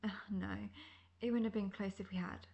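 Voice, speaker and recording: Gravelly, world-weary monster hunter played by a woman. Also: medium pitch, steady tone, normal speed, dark tone, close to an everyday voice. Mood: cocky. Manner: wry, conversational, self-assured. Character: seasoned, cocky, world-weary female monster hunter, friendly mentor. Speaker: voice actor in audio roleplay. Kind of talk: fantasy roleplay audio acting.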